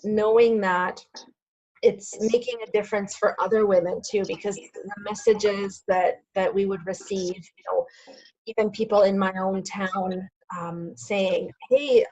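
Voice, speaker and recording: A woman's voice: medium pitch, rough recording. A woman co-hosting a podcast, talking in an earnest, searching way. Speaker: podcast co-host. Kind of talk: casual chat.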